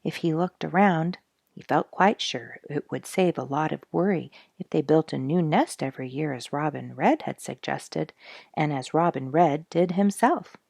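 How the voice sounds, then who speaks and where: A woman storyteller reading a children's book expressively, with deliberate pauses and casual asides. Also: deep voice, gravelly, a little mellow, dry recording, clean studio sound.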